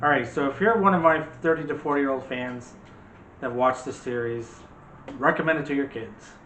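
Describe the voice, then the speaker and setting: A man's voice: medium pitch, normal speed, fairly bright tone. A man hosting a casual movie discussion with playful, teasing banter. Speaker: host of a movie channel. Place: indoors in an echoey room. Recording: echoey room with faint background noise.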